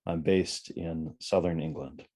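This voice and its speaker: A man introduces himself on a group video call. His voice is deep. It has a soft, dark tone. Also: steady tone, measured pace. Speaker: adult ally of a youth group.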